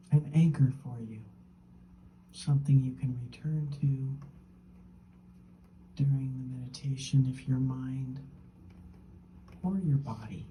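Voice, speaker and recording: A woman leading a guided meditation as a teacher, gently and unhurriedly, in short phrases with long pauses, her soft, breathy voice often falling toward a whisper. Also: extremely low pitch, a little hiss or hum, rough recording.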